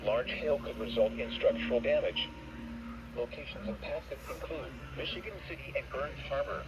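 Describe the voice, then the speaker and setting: A computer-made male voice reading an automated weather broadcast in a flat, measured, word-by-word way. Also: mid-range voice. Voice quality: even, synthetic text-to-speech delivery. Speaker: weather radio announcer. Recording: dull, hissy radio receiver recording.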